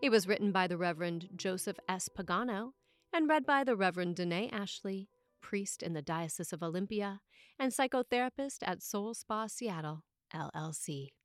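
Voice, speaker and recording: A woman priest reading a scripted sermon aloud for a podcast in a measured, expressive, clearly phrased way. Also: mid-range voice, dry recording, clean studio sound, faint music behind.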